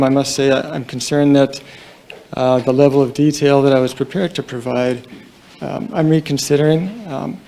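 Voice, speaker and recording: A soft-spoken man with a low, mellow tone and a gravelly edge addresses a crowded press conference. He talks in a flat, halting way, pausing often and leaning on fillers. The room is large. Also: a little hiss or hum. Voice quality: quiet voice. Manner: halting, somber, many fillers. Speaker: police deputy chief.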